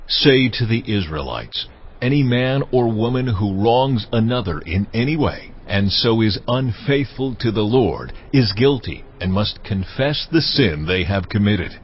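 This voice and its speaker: A man voicing God in a dramatized audio Bible, giving solemn commands in a measured, authoritative way. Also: lowish voice, expressive. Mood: solemn, commanding. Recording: dull studio sound under music. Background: clear background music.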